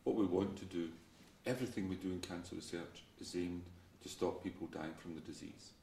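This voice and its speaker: A man giving an interview statement as a researcher, explaining points clearly one by one. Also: low voice, a little fast, dark tone. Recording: roomy, distant, faint noise.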